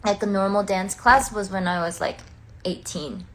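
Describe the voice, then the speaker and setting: A woman's voice: medium pitch, moderate pace. A woman chatting casually on a livestream, heartfelt and talkative, filling her speech with "like.". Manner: chatty, heartfelt, many "like" fillers. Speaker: singer and dancer on a livestream.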